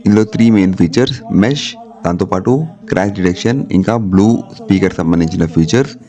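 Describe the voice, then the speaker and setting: A man's voice: deep voice, fast talker, dark tone. A man with a Telugu accent narrating a product review vlog, speaking directly and mixing English terms into his talk. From India. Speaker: vlogger.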